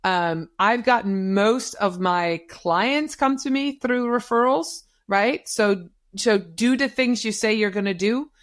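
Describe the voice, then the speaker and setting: A middle-aged woman whose first language is Dutch, speaking English as a guest in an interview. She gives career advice in an emphatic, coaching way and repeats key phrases to drive them home. Her voice sits at an ordinary pitch for a woman. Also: takes it slowly. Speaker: guest, social media business owner. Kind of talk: advice-giving interview talk.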